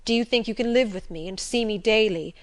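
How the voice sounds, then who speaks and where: A woman voicing a passionate, stormy middle-aged gentleman in an audiobook, speaking loudly and urgently. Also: mid-range voice, brisk, lightly acted. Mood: pleading, insistent. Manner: loud and impassioned, urgent. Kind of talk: audiobook reading.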